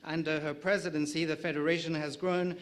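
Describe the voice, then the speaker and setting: A middle-aged South African man runs a conference panel in a slightly higher voice than most men. He reads out guest introductions, stumbling now and then and dropping in a light joke. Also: flat delivery, brisk. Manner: reads introductions with small slips and light jokes. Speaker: panel moderator.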